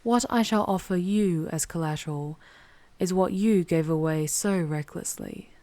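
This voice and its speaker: A woman plays an ancient, proud demoness with a low, velvety dark voice. She speaks in formal, deliberate phrases, charming and teasing yet commanding. It is an intimate, very dry ASMR roleplay recording. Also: no room sound. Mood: bargaining, solemn. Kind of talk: ASMR roleplay, scripted audio drama.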